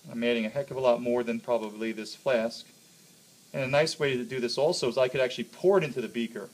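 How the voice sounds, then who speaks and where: A man with a husky, slightly rough voice at a middling pitch teaches chemistry. He explains a demonstration step by step, in a practical, hands-on way, often checking in with a quick okay.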